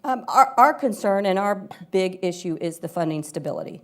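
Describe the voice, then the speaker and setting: A woman's voice: mid-range voice, animated, normal speed. A woman speaking as a panelist, giving an earnest, spontaneous answer at a live discussion. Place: live panel event before an audience.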